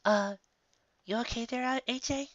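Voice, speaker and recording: A man's voice: very high-pitched, moderate pace, a bit bright, no room sound, highs cut off, voice pushed up. A man voicing a casual, easygoing female pony with dry humour and hesitant, informal speech in a story reading. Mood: concerned.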